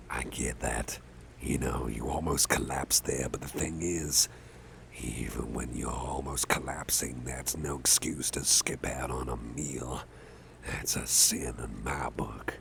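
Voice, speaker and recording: A man playing a big, gruff but caring boss in an ASMR roleplay, murmuring gently with a gravelly, often breathy voice and soft hesitations. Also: rumbling low, background noise, full character voice. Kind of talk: ASMR personal-attention roleplay.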